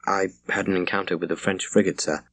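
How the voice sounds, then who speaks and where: A man's voice: sits low, level tone, a little fast, a bit bright, dry recording. A man voicing a reserved, dutiful naval captain with a formal manner in an audiobook. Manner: formal, restrained. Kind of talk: audiobook character voice.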